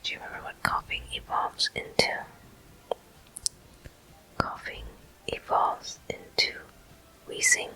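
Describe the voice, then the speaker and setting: A person whispering soft, breathy, unhurried commentary in short phrases while playing a video game for ASMR viewers. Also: low-quality recording. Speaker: video game player and host.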